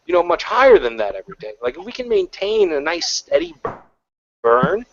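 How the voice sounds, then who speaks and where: A man's voice: high voice, very animated, brisk, slight background noise. Rambling man on a lo-fi call. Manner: rambling, heavy on fillers and restarts. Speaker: panel guest. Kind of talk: casual online panel chat. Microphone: video call. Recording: lo-fi online call audio.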